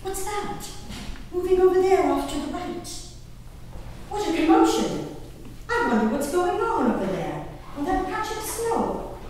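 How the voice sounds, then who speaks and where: In a very echoey hall, far from the microphone, a high-pitched, genteel English gentlewoman played by an actress declaims her diary. She is theatrical and easily swept up in feeling, and speaks slowly with drawn-out emphasis. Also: big pitch swings, a little hiss or hum, full character voice. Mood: delighted wonder. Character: genteel, dramatic English gentlewoman diarist, easily appalled, enraptured by wild nature. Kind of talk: theatrical dramatic reading of a diary on stage.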